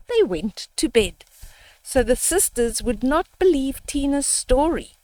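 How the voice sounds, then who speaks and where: An older woman reads a picture book to young children at an unhurried pace. Her voice is on the high side and swings widely in pitch, with asides and questions for the listeners, in a dry, close recording. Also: a little mellow, no room sound, low-quality recording. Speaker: children's storybook reader. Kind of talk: read-aloud storytime with explanatory asides.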